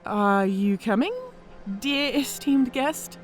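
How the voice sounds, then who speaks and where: An actress playing a confident, teasing orc centurion mage in a fantasy audio drama, hospitable and self-assured. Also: female voice, on the high side, slow pace, faint music behind.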